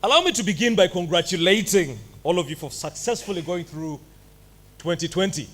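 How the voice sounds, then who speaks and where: A man delivering a commencement address in a ceremony hall. He mixes formal greetings with playful asides to the crowd. His voice sits a little high for a man. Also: animated, a little fast, a bit bright. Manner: jovial, playful asides to the audience. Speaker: commencement speaker. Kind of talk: graduation address.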